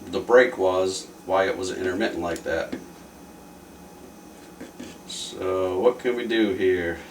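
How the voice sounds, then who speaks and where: A man giving casual, offhand commentary as he thinks aloud during a hands-on repair. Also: lowish voice. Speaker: repair video presenter. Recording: roomy, distant mic with faint noise.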